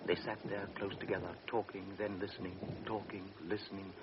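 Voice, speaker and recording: Man narrating, deep voice, grave and measured, clear hiss, muffled vintage radio tape. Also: little pitch movement. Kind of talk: scripted radio drama narration. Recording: dull old radio recording with hiss.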